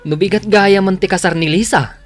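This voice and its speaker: An actor with an Ilocano accent playing a chummy, teasing young drinking buddy in a radio drama. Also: male voice, high-pitched, brisk, lightly acted. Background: music bed.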